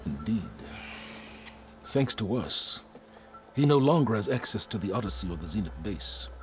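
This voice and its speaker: Older male scholar-strategist for a cinematic game: low, formal, measured, coolly calculating, over a music bed. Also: very animated. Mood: wary. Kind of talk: cinematic video game dialogue.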